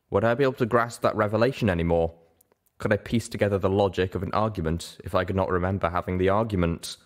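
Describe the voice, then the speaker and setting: A man narrating scripted fiction in a measured, dramatic storytelling manner. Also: on the low side. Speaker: audiobook narrator.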